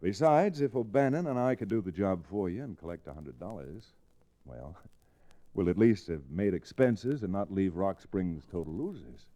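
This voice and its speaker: Mellow, animated American cowboy lawyer. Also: male voice, sits low, moderate pace. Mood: calm, bargaining. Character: confident, educated Western lawyer-rancher hero, shrewd and unflappable. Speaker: radio actor, lead and narrator. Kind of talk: old-time radio Western acting. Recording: restored vintage radio recording.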